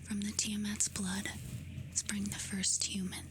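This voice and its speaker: A woman doing soft-spoken ASMR, reading facts aloud in a hushed, soothing, breathy whisper. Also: medium pitch, completely flat delivery. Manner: slow, hushed, soothing delivery with short phrases. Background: music.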